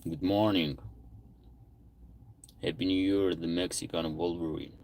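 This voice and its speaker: Deep, unhurried man hosting a livestream. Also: fairly even intonation, darkish tone, slight background noise. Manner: greets viewers by name and reads their comments aloud. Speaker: livestream host. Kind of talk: casual livestream chat.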